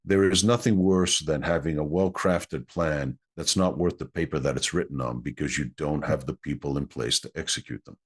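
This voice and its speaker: Middle-aged man, Greek native speaker, very low voice, earnest explainer; interview guest. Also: moderate pace, hardly any pauses, husky. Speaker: guest consultant. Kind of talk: interview conversation.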